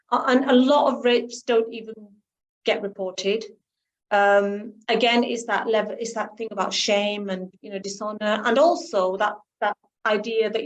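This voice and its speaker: Middle-aged woman, halting and earnest. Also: medium pitch, rough recording. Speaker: guest author. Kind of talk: discussion on a radio show.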